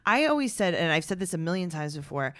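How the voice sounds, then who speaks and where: A woman with a New York accent talks in a low, dry voice and tells wry stories in casual chat. Her pitch swings a lot as she talks, and she keeps an animated, comic edge. The sound is close and has no room echo. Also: quick pace. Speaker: comedian guest.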